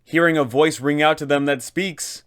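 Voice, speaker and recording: A man's voice: on the high side, normal speed, a bit bright, smooth. A man hosting a tabletop game guide, narrating advice for game masters in a casual, emphatic way. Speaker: host, game master advising other game masters.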